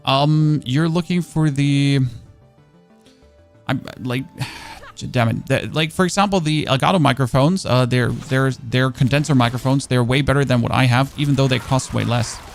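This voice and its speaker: Gravelly man chatting on stream. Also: medium pitch, normal speed, faint music behind. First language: German. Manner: rambling, answers chat questions, frequent restarts. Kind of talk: casual gameplay streaming chat. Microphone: studio dynamic microphone.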